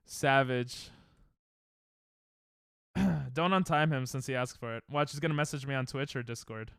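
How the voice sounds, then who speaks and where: A man's voice: medium pitch, measured pace, vocal fry, fairly bright tone, clear, resonant tone, dry recording, clean studio sound. A man streaming a game, chatting casually and sarcastically with his viewers. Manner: sarcastic, joking banter with chat. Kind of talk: casual live-stream chat while gaming.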